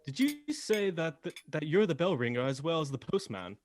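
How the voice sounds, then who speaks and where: Male actor as gentleman sidekick, earnest, fussy, proper, lively intonation, slight background noise, radio drama. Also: fairly high pitch, moderate pace. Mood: concerned, fussy. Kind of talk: radio play acting.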